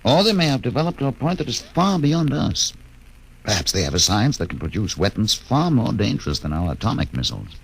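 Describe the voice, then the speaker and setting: An actor playing a calm, thoughtful male ship's doctor in a 1950s radio drama. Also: fairly low pitch, brisk, creaky, slight background noise, rough recording. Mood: musing.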